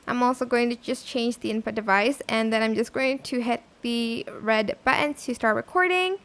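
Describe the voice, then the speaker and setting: Woman, very high voice, tutorial host, informal conversational delivery, casual how-to narration. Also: moderate pace, fairly bright tone.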